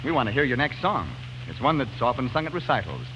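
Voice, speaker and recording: A man's voice: mid-range voice, sing-song, quick pace, noisy background. A man working as a radio show announcer, speaking in scripted 1940s style on a dull vintage broadcast.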